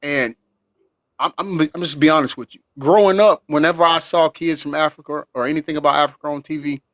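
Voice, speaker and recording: A man's voice: a little high, fairly even intonation, brisk, no echo, studio quality. A man hosting a call-in talk show, agreeing readily with his guest. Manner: warm and affirming, laughs often. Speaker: host. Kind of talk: casual call-in chat.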